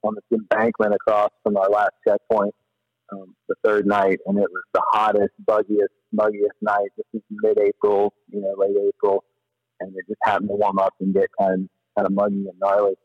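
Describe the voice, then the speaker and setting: A man telling stories casually as a podcast guest, in an animated, slangy, rambling way. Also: on the low side, moderate pace, no room sound, highs cut off. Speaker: podcast guest, paddler and former river guide. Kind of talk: casual storytelling in an interview.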